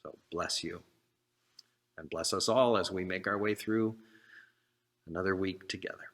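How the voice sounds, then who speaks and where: Slow, deep-voiced male preacher. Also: fairly even intonation, fairly bright tone. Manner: earnest, exhortatory, with deliberate pauses and short phrases. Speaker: minister giving a sermon. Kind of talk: sermon.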